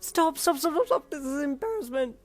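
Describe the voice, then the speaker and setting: A woman's voice: high voice, animated, a little fast, faint music behind, pitched above the performer's own voice. A woman voicing a young heroine who gets easily flustered and exasperated. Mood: mortified.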